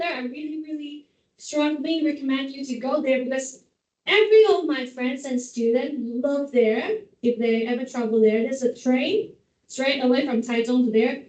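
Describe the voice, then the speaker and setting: High-voiced Taiwanese woman in an echoey room. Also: darkish tone. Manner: chatty, joking, simple non-native English. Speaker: guest speaker. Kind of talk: casual classroom talk. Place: classroom. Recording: echoey room, distant mic, dull sound.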